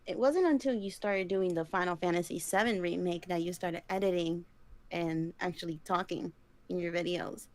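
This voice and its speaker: A woman's voice: fairly low pitch, moderate pace. A young woman co-hosting a casual podcast chat, rambling along with plenty of likes and ums and giggling often. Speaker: podcast co-host.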